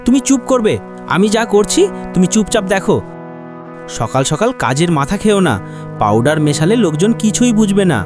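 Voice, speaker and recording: Man acting a swooping, high-voiced scheming village milkman. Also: sing-song, normal speed. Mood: irritated, defensive. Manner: wheedling and scolding, argumentative. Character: scheming, bossy village milkman, a dishonest husband. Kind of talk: cartoon moral-tale acting. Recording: music behind the voice.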